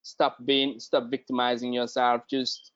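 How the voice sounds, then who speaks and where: A man from Guinea, a guest speaking in a halting way and often repeating words as he searches for them. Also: medium pitch, flat delivery, fairly bright tone, no echo. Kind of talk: conversational interview. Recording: dry, slightly dull lo-fi sound.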